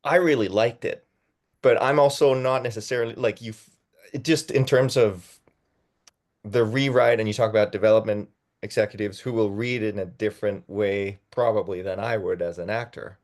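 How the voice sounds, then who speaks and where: Hesitant low-voiced man giving feedback. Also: fairly even intonation, normal speed, a little mellow. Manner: hesitant, searching for words. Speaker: actor on a feedback panel. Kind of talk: casual discussion feedback.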